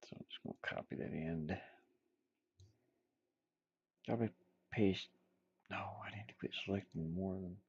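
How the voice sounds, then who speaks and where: A man's voice: low-pitched, unhurried, gravelly, long pauses, husky, dull audio. A man thinking aloud in short, offhand phrases as he casually demonstrates game art on his computer. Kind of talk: casual screen-recorded work-along, thinking aloud.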